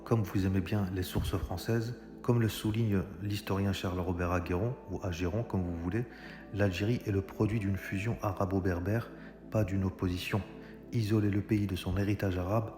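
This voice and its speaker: A man lays out a political argument straight to his viewers, stumbling and restarting now and then. His voice sits a little low, and the recording carries a long hall reverb with music playing clearly underneath. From Algeria. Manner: argumentative, addresses viewers directly, frequent hesitations. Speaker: commentator. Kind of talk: political monologue. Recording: echoey room with long reverb.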